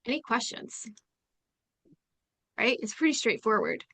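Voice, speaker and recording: A woman's voice: a little high, a little slow, gravelly, a bit bright. A woman presenting in a business webinar, stressing and repeating her key points. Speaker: webinar presenter, company founder.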